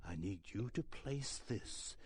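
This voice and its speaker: An actor playing a creepy old shopkeeper in a video game, eerily polite, with slow, formal, deliberate delivery. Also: male voice, fairly low pitch, animated, darkish tone, slight background noise. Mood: sly, ominous.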